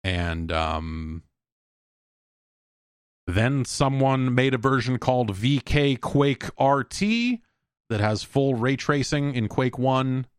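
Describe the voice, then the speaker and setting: A man with a mid-pitched voice hosts a casual solo podcast, recorded close and dry. He rambles conversationally, slipping in wry asides and playing out little imagined back-and-forths. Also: slow pace, smooth, no echo. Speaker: podcast host. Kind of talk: casual solo video game podcast talk.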